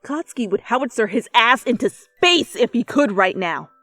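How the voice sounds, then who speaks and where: A woman giving an expressive, theatrical dramatic reading of fan fiction as narrator. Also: fairly high pitch, normal speed, no room sound. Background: background music.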